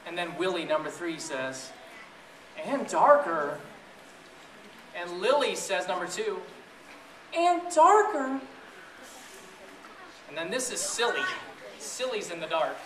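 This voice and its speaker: A man reading a picture book aloud to a group of children in a playful, chatty way, pausing to ask them questions. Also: sits high, very animated, fairly bright tone. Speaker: storybook reader to children. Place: echoey room with an audience of families. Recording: roomy, distant event recording with audience noise.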